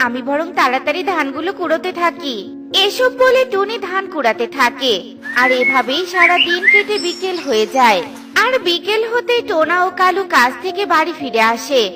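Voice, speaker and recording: A woman's voice: extremely high pitch, sing-song, normal speed. Woman narrator, scripted kids' cartoon storytelling, music behind.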